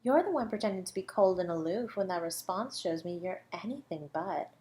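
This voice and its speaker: A woman playing a suave, flirty academic suspected of murder in an audio roleplay, teasing and condescending, drawing out her words with breathy asides. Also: mid-range voice, lively intonation, a little fast, mellow, lightly acted. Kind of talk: scripted audio roleplay monologue.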